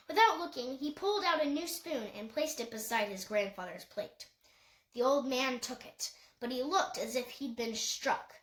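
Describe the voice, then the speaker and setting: A woman's voice: on the high side, a bit bright, echoey room, rough recording. A woman reading a novel aloud as scripted audiobook narration. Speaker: audiobook reader.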